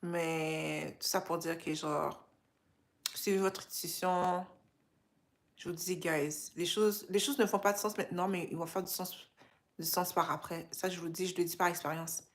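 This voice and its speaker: A woman's voice: on the low side, normal speed. A young woman from Canada with a Québécois French accent, sounding tired and chatting casually with viewers on a livestream, slipping in English phrases. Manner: relaxed, mixes in English phrases. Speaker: livestream host.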